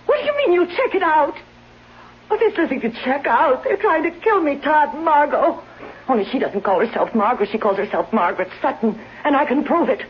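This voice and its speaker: A woman's voice: high voice, big pitch swings, fairly quick, slight background noise. An actress playing a desperate bedridden wife in a 1970s radio drama, pleading and agitated, convinced she is in danger. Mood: frantic indignant. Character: desperate bedridden invalid wife fearing she is being poisoned. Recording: muffled old radio recording.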